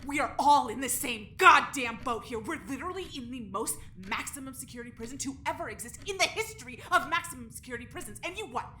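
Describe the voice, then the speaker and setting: Actress as touchy young woman convict, highish sharp voice, blunt, quick temper, sci-fi audio drama. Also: animated, a little hiss or hum. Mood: furious. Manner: blunt, confrontational, sweary.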